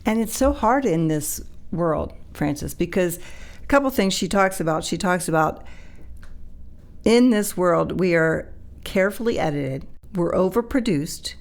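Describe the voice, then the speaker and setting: A woman's voice: on the low side, animated, measured pace, creaky. A woman co-hosting a podcast, earnestly reading and discussing passages from a book. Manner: earnest, reads passages aloud. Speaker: podcast co-host. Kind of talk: casual chat, reading from a book.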